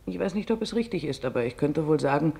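Man voicing a weary, creaky-voiced camp survivor. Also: a little high, expressive, fast talker, mellow. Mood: sorrowful, haunted. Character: weary, sorrowful female concentration camp survivor giving testimony.

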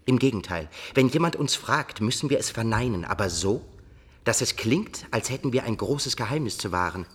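Shrewd medieval leader, mid-pitched husky voice, suspicious, authoritative, radio play, long hall reverb. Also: male voice, lively intonation, moderate pace, fairly bright tone. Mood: scheming, instructive. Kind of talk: German radio drama acting.

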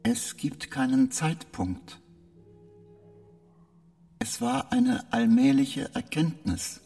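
Slow, creaky spaceship computer mind. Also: male voice, very high voice, wildly swooping pitch, mellow, soft background music, exaggerated voice. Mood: troubled, halting. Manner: slow, measured, halting delivery with odd emphasis. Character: male artificial intelligence of a spaceship, polite, halting, evasive. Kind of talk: radio drama acting.

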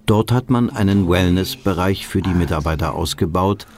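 An actor playing a sober documentary-like narrator, reading scripted narration in a radio play. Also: male voice, low-pitched, moderate pace, darkish tone, a little hiss or hum, natural voice. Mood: matter-of-fact.

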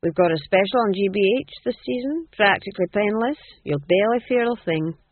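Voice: medium pitch, lively intonation, fairly quick, no echo, phone-quality sound, voice pushed up, natural voice. A narrator voicing a polite, businesslike male street thief with courteous salesman patter. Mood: courteous, salesmanlike.

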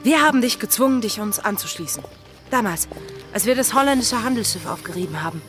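A woman's voice: a little high, animated, fast, a bit bright. An actress playing a haunted, resolute female pirate in a German radio drama, intense and brooding. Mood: resolute, pained. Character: Anne Bonny, wanted female pirate, haunted, resolute lover. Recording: studio radio play with prominent music.

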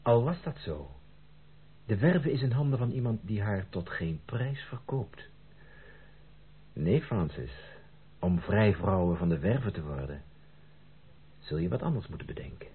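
An earnest, protective gentleman in an old radio drama. His intonation moves widely and his delivery is formal and emphatic, with a very husky tone. The recording sounds thin and muffled. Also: lowish voice, animated, measured pace, pauses often, exaggerated voice. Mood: measured, reserved. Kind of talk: Dutch radio play acting.

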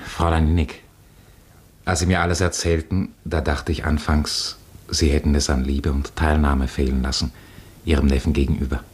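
A radio drama priest, really low husky voice, solemn and gentle. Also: male voice, level tone, normal speed. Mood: solemn, earnest. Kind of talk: German radio play acting.

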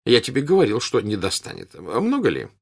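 A man's voice: on the low side, wildly swooping pitch, fairly quick, gravelly, darkish tone, exaggerated voice. A jovial old count who easily gets flustered, crying out and then faltering helplessly in a storybook reading, as a man acts him. His voice jumps around a lot.

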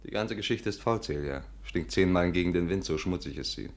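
An actor playing a brisk, confident, cajoling amateur detective in a 1950s German radio drama. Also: male voice, low voice. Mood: brisk, wry.

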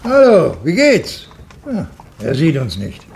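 An actor playing a lively, excitable man riding with mourners in a German radio play, in a creaky voice. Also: fairly high pitch, slow pace, heavily acted. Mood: excited. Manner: excitable, calling out, animated. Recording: faintly noisy old radio recording.

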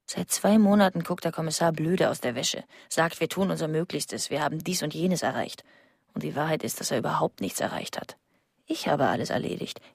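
An actress playing a bitter, scornful wife of a murderer in a radio drama, speaking in a caustic, terse way. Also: female voice, low-pitched, vocal fry, breathy edge, dry recording, clean studio sound, full character voice.

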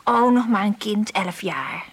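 An actress playing a spirited, matter-of-fact schoolgirl in a radio drama, chatting frankly in a breathy voice. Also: mid-range voice, measured pace. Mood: chatty. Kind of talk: conversational radio drama acting.

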